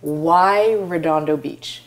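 A middle-aged woman hosting an interview in a small studio, speaking with energetic enthusiasm. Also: low-pitched, slow. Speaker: host. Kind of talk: upbeat interview.

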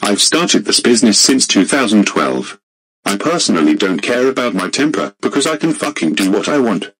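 A man voicing a hot-tempered, rich boss who swears and shouts indignantly in amateur voice acting. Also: lowish voice, sing-song, normal speed, breathy edge, no room sound, exaggerated voice, low-quality recording. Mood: furious.

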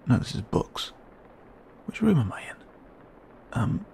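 A man voicing a cheeky, skittish young raccoon boy in an audio roleplay, muttering and grumbling with halting, sarcastic protests. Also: mid-range voice, sing-song, creaky, noisy background. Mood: furtive muttering.

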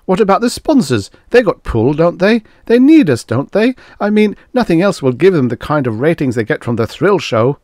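A fretful, feeble company man, put on by a male narrator in an audio story. His voice rides high, worried and whining, with a dry, close sound. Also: big pitch swings, normal speed, few pauses, darkish tone, no room sound. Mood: anxious pleading. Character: anxious, feeble staff man, groaning and whining.

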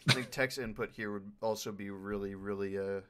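A man's voice: on the low side, fairly even intonation, a little fast, a bit bright. A man speaking casually as a panelist in a Q&A, rambling with enthusiasm. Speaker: panelist, video editor for a fan dub group.

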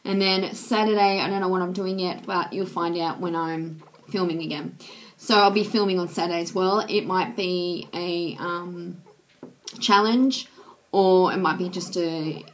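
Hoarse, soft-spoken woman vlogging. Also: on the low side, steady tone, unhurried, slight background noise, low-quality recording. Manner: soft, chatty, with many ums. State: hoarse from a cold. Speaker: YouTuber. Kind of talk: casual vlog chat to camera. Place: small room indoors. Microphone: webcam at close range.

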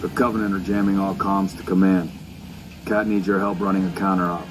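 A man's voice: low voice, flat delivery, fairly quick, close to an everyday voice. A man voicing a stoic, terse armored super-soldier in a video game. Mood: solemn. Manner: terse, restrained. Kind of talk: video game cutscene acting. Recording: loud music behind.

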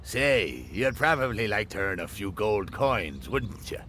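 An actor playing a shady, flattering old seaman in a video game, with a sly, ingratiating manner. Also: fairly low pitch, unhurried, a little hiss or hum, exaggerated voice. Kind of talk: video game dialogue acting.

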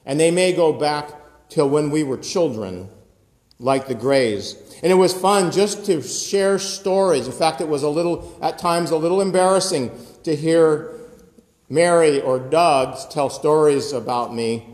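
A man's voice: on the high side, measured pace, a little mellow. A man in his fifties preaching a sermon in a conversational way, filmed close up on a phone in a church sanctuary. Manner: conversational preaching with gestures and asides. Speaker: pastor. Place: church sanctuary with high ceiling. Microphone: phone camera close up, looking upward. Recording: echoey church with long reverb.

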